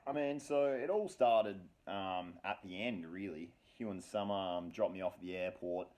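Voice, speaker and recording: A man's voice: deep voice, normal speed, darkish tone. A man casually telling a story about himself in a slangy, sweary, wry and self-mocking way. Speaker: storyteller, host of his own story series. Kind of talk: casual first-person storytelling. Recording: echoey room, distant from mic.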